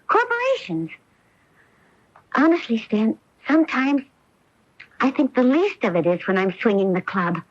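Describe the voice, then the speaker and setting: An actress in a radio drama playing an earnest, driven star golfer who pleads emotionally and persuasively as a loving wife. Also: female voice, high-pitched, dry recording, full character voice. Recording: muffled old AM radio broadcast.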